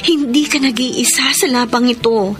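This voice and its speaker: An actress plays a devoted, worn-down wife in a radio drama. Her voice is high and full of earnest emotion. Music plays clearly beneath her words. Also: normal speed, close to an everyday voice. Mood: distraught, pleading. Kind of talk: Tagalog radio drama acting. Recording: music bed under the voice.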